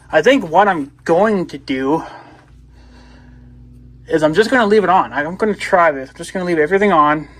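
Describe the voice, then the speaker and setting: A man's voice: a little high, a bit bright. A man casually demonstrating gadgets as a video blogger, rambling and thinking aloud as he goes.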